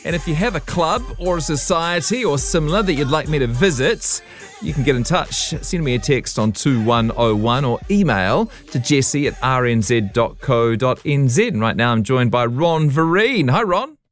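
Upbeat New Zealand radio host. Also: male voice, mid-range voice, expressive, moderate pace, faint music behind. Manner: upbeat, chatty. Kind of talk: radio chat show interview. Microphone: studio microphone.

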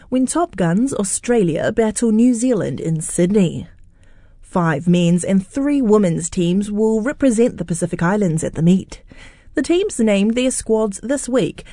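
A woman's voice: mid-range voice, mellow, no room sound, clean studio sound. A woman presenting a scripted sports news introduction.

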